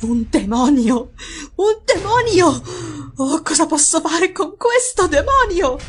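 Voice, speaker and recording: A despairing, feverish wife from a storybook mystery, played by a woman narrator. Her voice is high and dramatic, drawing out anguished words as if delirious. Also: sing-song, soft background music, full character voice. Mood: delirious, horrified. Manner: anguished, dramatic, drawn-out cries.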